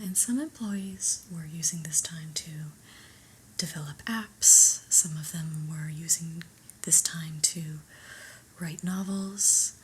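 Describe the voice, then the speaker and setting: A woman playing a stern, unimpressed new office boss in an ASMR roleplay, speaking in a breathy whisper with a measured, formal, reproving manner. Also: fairly low pitch, slight background noise, low-quality recording. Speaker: ASMR performer.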